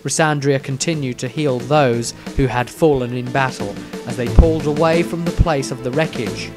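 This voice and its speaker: A man's voice: mid-range voice, normal speed, over music. A man reading his fantasy story aloud as scripted, dramatic and earnest narration.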